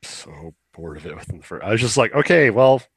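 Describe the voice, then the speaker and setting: A man's voice: on the low side, sing-song, brisk, a bit bright, slightly rough, no echo, studio quality. A young man chatting casually as a podcast co-host, opinionated and rambling, swearing now and then. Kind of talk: casual chat about video games.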